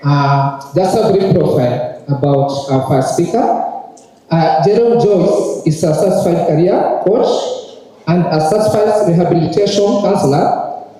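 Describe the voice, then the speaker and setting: A man acting as master of ceremonies at a graduation, making formal, polite announcements at a slow pace. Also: a little high, mellow. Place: large ceremony hall. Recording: echoey hall, distant mic.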